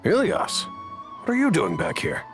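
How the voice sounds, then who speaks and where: A man playing a stern, worried middle-aged father with a gravelly voice in a video game. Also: fairly high pitch, huge pitch swings, moderate pace, soft background music. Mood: reproachful.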